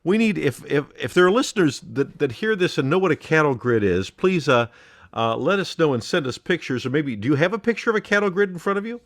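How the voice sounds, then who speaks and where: A man's voice: a little high, sing-song, quick pace, dry recording, studio quality. A man co-hosting a podcast in casual comic banter, riffing with mock exasperation and repeating phrases for emphasis. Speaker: podcast co-host.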